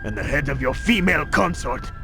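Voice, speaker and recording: An actor playing a mocking, treacherous merchant in a video game, smug and theatrical in delivery. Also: male voice, mid-range voice, lively intonation, fairly quick, vocal fry. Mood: smug, threatening. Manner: taunting, theatrical. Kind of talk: video game cutscene voice acting. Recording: music behind the dialogue.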